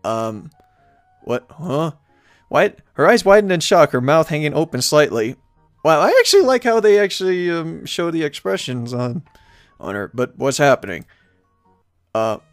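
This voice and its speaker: A man's voice: a little high, sing-song, moderate pace, pauses often, dry recording, clean studio sound, soft background music. A man hosting a let's play, reading game text aloud dramatically and adding playful, wry asides.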